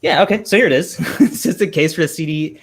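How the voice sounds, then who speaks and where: A man's voice: on the high side, fast, husky. A man giving an informal, casual show-and-tell demo as a project presenter.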